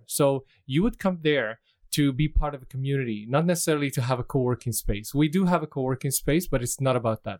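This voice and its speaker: A man's voice: mid-range voice, darkish tone. A middle-aged man from Syria, a guest talking casually about his work in an interview, easygoing and prone to restarts. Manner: easygoing, frequent restarts and laughs. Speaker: interview guest, incubator manager.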